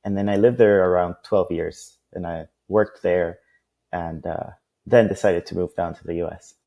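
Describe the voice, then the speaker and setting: Mellow low-voiced man from Costa Rica. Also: fairly even intonation, normal speed, creaky. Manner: talkative, many fillers and restarts. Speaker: interview guest, entrepreneur. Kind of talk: casual business interview.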